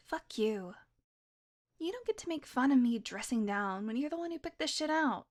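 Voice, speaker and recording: A woman's voice: sits high, lively intonation, normal speed. A woman acting a sly, sarcastic, flirtatious female vampire in an intimate audio roleplay, teasing and sometimes dropping into a murmur. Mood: flirty, cheeky.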